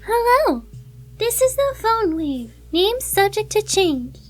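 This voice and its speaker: A sweet, childlike anime-style teenage girl with an extremely high voice. She sounds cutesy, naive and guileless, with faint music underneath. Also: very animated, slow, full character voice. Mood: sing-song announcing. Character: innocent, sweet, childlike teenage girl, speaks in third person. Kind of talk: visual novel fan dub acting.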